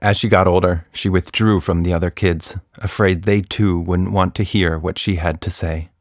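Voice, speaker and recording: A man narrating a scripted children's story as a podcast storyteller, deliberate and expressive. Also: deep voice, no room sound, clean studio sound.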